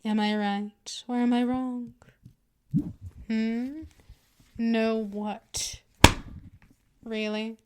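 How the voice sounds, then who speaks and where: A woman's voice: fairly high pitch, fairly even intonation, very slow, long pauses, a little mellow, smooth. A woman playing a dominant, patient vampire mistress in a scripted ASMR roleplay, speaking in a condescending, teasing purr straight to the listener. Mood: stern, teasing. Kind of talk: scripted ASMR roleplay, intimate second-person address.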